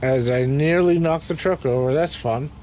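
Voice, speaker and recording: A man with a raspy voice, casually commenting to himself as he plays a game, clearing his throat now and then. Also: fairly high pitch, normal speed, a little mellow, slight background noise. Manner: muttering to himself while playing, frequent ums and throat-clearing. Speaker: game streamer.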